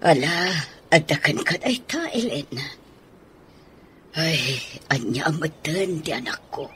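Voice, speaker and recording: A woman's voice: deep voice, big pitch swings, slow pace, a bit bright, full character voice. A woman with an Ilocano accent acting as an older, sympathetic mother-in-law who is indignant at her son, in a radio drama. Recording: noticeable background noise.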